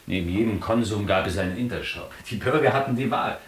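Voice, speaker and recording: A man playing an ironic, bookish East German tippler in a radio play. His voice is fairly low, in a roomy space, and he tells his escapades with mock-learned wit. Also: quick pace, lightly acted. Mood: dreamy wry. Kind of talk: radio play narration and dialogue.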